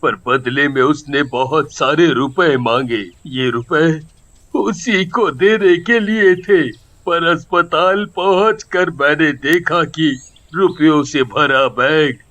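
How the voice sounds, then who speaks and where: A man voices a shopkeeper in a cartoon moral tale, weighed down with sorrow and regret. He talks slowly and heavily, measuring out each phrase with grave sincerity. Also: on the high side, bright, forceful tone, voice pushed down. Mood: grieving. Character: remorseful, grieving male shopkeeper, grateful boss. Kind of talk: Hindi moral story cartoon acting.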